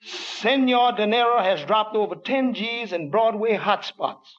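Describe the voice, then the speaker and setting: An actor playing a deadpan male tavern worker in a 1940s radio comedy, reading slowly and flatly. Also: high voice. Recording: dull old radio broadcast.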